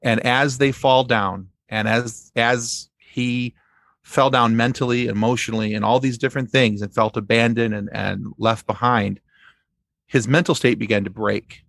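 A man speaking as a podcast guest in casual fan discussion, haltingly repeating phrases as he explains. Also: mid-range voice, fairly even intonation, creaky, fairly bright tone, dry recording.

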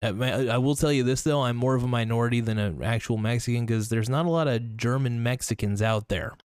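A man's voice: medium pitch, fast talker, darkish tone, clear, resonant tone, no room sound, studio quality. A young man hosting a casual solo podcast, rambling through his thoughts with lots of fillers and restarts. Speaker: podcast host.

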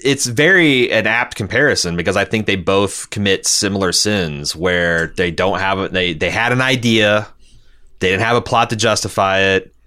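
Male podcast co-host, low-pitched but bright and punchy, casual chat and mail reading. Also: normal speed. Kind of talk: casual chat and reading listener messages aloud.

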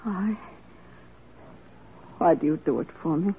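A performer playing a melancholy, broken woman prisoner in a classic radio drama, speaking plaintively and haltingly. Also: medium pitch, husky. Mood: hopeless, pleading. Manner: plaintive, halting, archaic rustic phrasing. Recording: tinny, noisy old radio drama recording.

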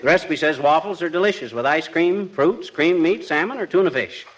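Lively, slangy, blustery husband. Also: male voice, on the high side, a little fast. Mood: cocky, breezy. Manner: slangy, wisecracking. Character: blustery wisecracking husband, cocky, homespun and grumbling. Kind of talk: 1940s radio comedy acting. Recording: noisy vintage radio recording.

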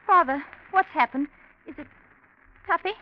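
An actress playing an anxious, well-bred young daughter with an emotional, genteel manner, in 1940s radio style. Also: female voice, high-pitched, moderate pace, background noise, close to an everyday voice. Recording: dull vintage radio drama recording.

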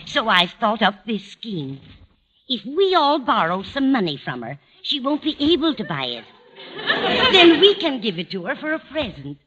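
A woman's voice: fairly high pitch, sing-song, normal speed, a crowd in the background, background noise. An actress playing a fussy, kindly older landlady, scheming and conspiratorial, in 1940s radio comedy. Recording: dull, band-limited 1940s radio recording.

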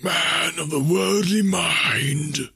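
A man voicing the anguished ghost of a dead businessman in a storybook reading, solemn and declamatory, with drawn-out phrases and a breathy, hoarse wail. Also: on the high side, bright, forceful tone, no room sound, voice pushed up, full character voice. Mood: booming, commanding.

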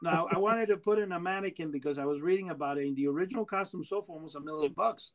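A middle-aged man from Cuba chatting casually and excitably, often repeating his words. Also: fairly high pitch, fast talker. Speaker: toy and memorabilia collector. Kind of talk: casual chat.

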